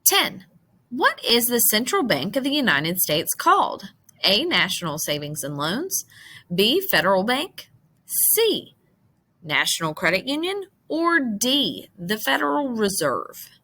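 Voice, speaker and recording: A woman teacher reading multiple-choice test questions aloud, with deliberate, emphatic enunciation of each option. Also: fairly high pitch, big pitch swings, bright, forceful tone.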